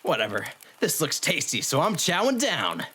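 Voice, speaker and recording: A man's voice: a little high, wildly swooping pitch, normal speed, sharp tone, a little hiss or hum, full character voice. A man voicing a brash, blunt young dog demon who grumbles and riles easily, in an anime-style audio drama.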